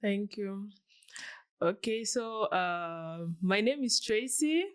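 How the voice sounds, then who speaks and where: A woman's voice: medium pitch, animated, slow pace, clear, resonant tone. A woman in her late twenties from Cameroon, a guest giving earnest, casual interview answers and acting out little quoted examples as she explains. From southwest Cameroon. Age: late 20s.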